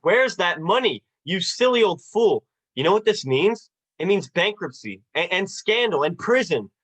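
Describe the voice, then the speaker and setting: A man's voice: high-pitched, very animated, normal speed, a bit bright, exaggerated voice. A man playing a short-tempered, desperate family man in a radio play, agitated and stammering. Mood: frantic, angry. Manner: agitated, stammering, repeating phrases. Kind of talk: radio drama acting.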